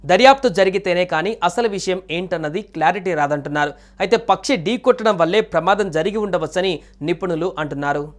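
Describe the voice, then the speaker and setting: Fast, high-pitched male news narrator. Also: lively intonation. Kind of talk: scripted news voice-over. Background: background music.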